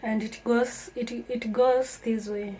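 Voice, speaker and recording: A woman's voice: a little high, steady tone, takes it slowly, warm tone, a little hiss or hum. A woman from Kenya, talking earnestly and reflectively to her viewers in a casual vlog. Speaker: vlogger. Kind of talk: casual talk to camera.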